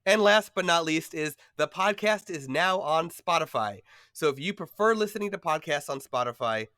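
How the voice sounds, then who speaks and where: A male podcast host talking casually with a stuffy, nasal voice from a cold. He rambles a little, with fillers and quick laughs, at an average pitch. The sound is close and dry. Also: animated, pushed and bright, dry recording. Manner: chatty, filler-heavy, laughs often.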